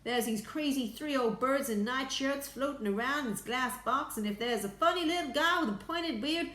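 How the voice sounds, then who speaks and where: A woman voicing a cheeky, excitable male astronaut in a storybook, brash and exclaiming. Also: on the high side, moderate pace, reverberant, voice pushed up. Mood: excited.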